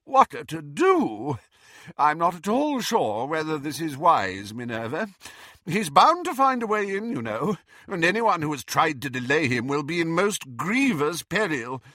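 A man's voice: sing-song, a little slow, sharp tone, no echo, clean studio sound, exaggerated voice. Man playing a pompous, puffing old professor. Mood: fretful. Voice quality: rumbling, puffing. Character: pompous, anxious, portly old male professor.

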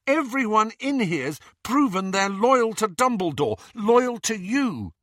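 A man's voice: high voice, sing-song, moderate pace, bright, forceful tone, no echo, studio quality, pitched above the performer's own voice, heavily acted. A man voicing an earnest, indignant teenage boy rebel in an audiobook, speaking insistently and emphatically.

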